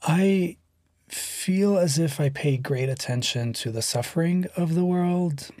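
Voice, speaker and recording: A guest in their thirties from Lebanon, speaking slowly and thoughtfully, with a stutter that often shows as silent pauses. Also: mid-range voice, a little mellow, no room sound, clean studio sound. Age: 30s. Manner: slow, measured and thoughtful. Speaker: guest, poet and writer. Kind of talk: reflective interview conversation.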